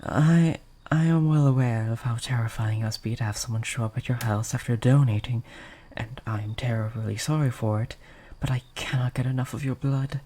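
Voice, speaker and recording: A breathy, mellow vampire of medium pitch, acted out by a performer for an intimate audio roleplay. The voice is soft and often sinks toward a whisper. The phrasing is courtly and hesitant, gentle yet possessive and lovesick. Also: fairly even intonation, moderate pace, lightly acted. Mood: yearning, reverent. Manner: formal, old-fashioned, hesitant, earnest.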